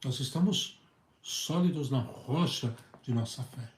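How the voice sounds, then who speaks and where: A hoarse male priest with a Brazilian Portuguese accent, preaching earnestly in halting phrases and often repeating his words. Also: medium pitch. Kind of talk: preached talk on a video channel. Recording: echoey room.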